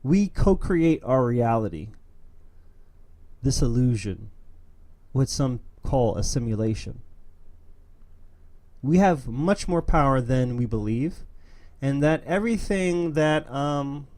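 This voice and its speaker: A young man from New York City hosts a streamed opinion show on his own. His voice is dark and mellow, and his pitch sits in the middle for a man. He talks in an earnest, emphatic way, full of fillers and restarts. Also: lively intonation, slow pace, pauses often. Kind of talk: casual monologue, reading memes.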